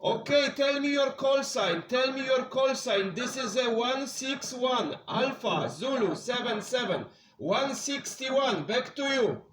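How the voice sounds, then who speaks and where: A man's voice: very high voice, lively intonation, unhurried, few pauses, roomy sound, crowd noise, poor audio. A man from Poland with a Polish accent, a native Polish speaker working as a CB radio operator, making contact calls in short, repeated procedural phrases.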